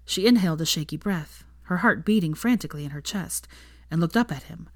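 Woman, story narrator, slightly low pitch, scripted reading, dry recording. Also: animated, a little fast, dry recording. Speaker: fan fiction narrator.

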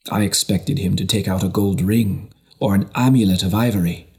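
A man narrates a historical novel in a low voice. His delivery is measured and scripted, shaped like storytelling for an audiobook. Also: fairly even intonation, warm tone.

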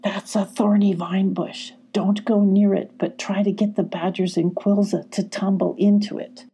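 A woman voicing an emotional young female fox in a storybook reading, high and pleading. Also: little pitch movement, normal speed, warm tone, breathy edge. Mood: urgent whisper.

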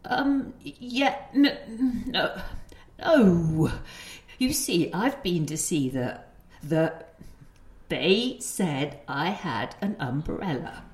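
An elderly grandmother, confused and searching for words. She speaks very slowly and haltingly, with animated rises and falls in pitch. The room around her echoes, as in an audio play. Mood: flustered searching. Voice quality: halting, hesitant delivery. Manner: muddled, groping for words. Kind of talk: stage-trained audio play acting.

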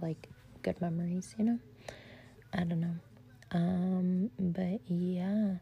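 A young woman telling a casual story in a steady whisper, chatty and full of fillers, swearing now and then. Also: fairly low pitch, gravelly, a little hiss or hum.

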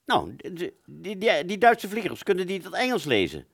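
A man's voice: high-pitched, huge pitch swings, normal speed, vocal fry, breathy edge, no echo, clean studio sound, heavily acted. A man playing a rambling, agitated wartime fighter pilot who relives battle in a stammering, dramatic radio play monologue. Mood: amused, stammering.

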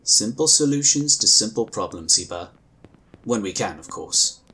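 An actor playing a calm, courteous psionic inquisitor in a sci-fi audio drama, with measured, formal phrasing. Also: male voice, on the low side, slightly rough, full character voice. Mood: thoughtful, reasoning.

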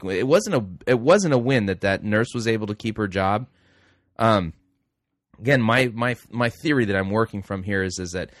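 A man's voice: mid-range voice, no room sound. Rambling, wry male talk-show host, dry sound. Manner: rambling, self-correcting, wry asides. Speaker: radio host. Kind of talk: casual talk show commentary.

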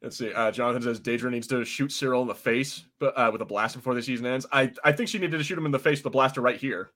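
A man's voice: medium pitch, quick pace, a bit bright. A man co-hosting a casual podcast, chatting loosely, laughing often and reading out live chat comments. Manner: laid-back, laughing, puts on quoted voices. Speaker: podcast co-host.

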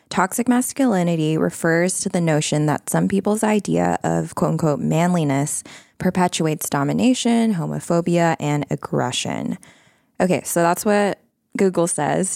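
A woman's voice: fairly low pitch, vocal fry, darkish tone, no echo, clean studio sound. A woman hosting a podcast panel in a conversational style. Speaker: podcast host.

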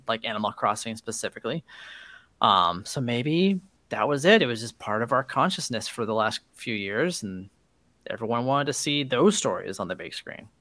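Animated man with lively, swinging pitch. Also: dry recording. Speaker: podcast co-host. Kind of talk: casual chat.

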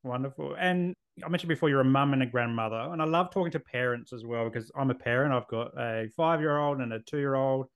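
A man's voice: medium pitch, fast, hardly any pauses, clear, resonant tone. A man in his thirties with an Australian accent, hosting a casual podcast interview in a friendly, chatty way. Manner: friendly, chatty, asks questions with fillers. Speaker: podcast host.

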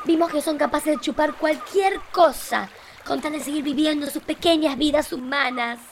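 A woman's voice: high voice, moderate pace. A woman playing a scolding, melodramatic alien in a campy radio-play parody. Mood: accusing. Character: shrill, scolding alien in a spoof. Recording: loud background noise and ambience.

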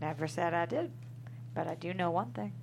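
A woman's voice: fairly low pitch, moderate pace, creaky, noisy background. A woman playing a tough, guarded small-town cowgirl at a script table read, blunt and folksy.

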